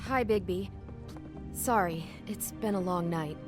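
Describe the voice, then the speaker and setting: An actress playing a worried, apologetic wife in a cinematic video game drama. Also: female voice, on the low side, a little slow, natural voice. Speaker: voice actor in a narrative video game. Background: music.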